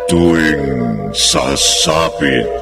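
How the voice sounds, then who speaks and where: A ghoulish radio horror host, speaks in a bottomless, gravelly bass. He drags out his menacing narration very slowly. His voice rings through a huge reverberant space. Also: fairly even intonation, full character voice. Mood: ominous. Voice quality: gravelly, very deep. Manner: drawn-out, menacing delivery. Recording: cavernous echo and long reverb. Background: music.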